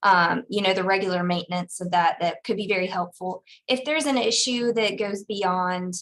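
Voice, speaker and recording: A woman's voice: mid-range voice, fairly even intonation, normal speed, a bit bright. A woman working for an equipment program, speaking in conversational question and answer. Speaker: state equipment program staffer.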